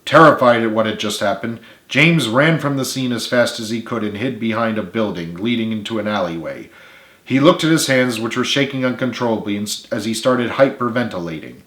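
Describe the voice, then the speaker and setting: A man's voice: fairly low pitch, fairly quick, a bit bright. A man reading his own story aloud as a dramatic audiobook narration. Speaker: author reading own book. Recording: echoey room.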